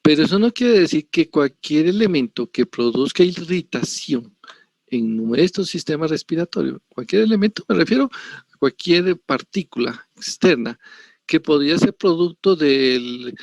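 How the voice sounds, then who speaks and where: Profesor colombiano de mediana edad, voz áspera, grabación seca, clase en línea. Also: male voice, fairly high pitch, animated, a little slow, gravelly, darkish tone, no room sound. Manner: digressive, frequent tag questions. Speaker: university lecturer. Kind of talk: online pathology lecture.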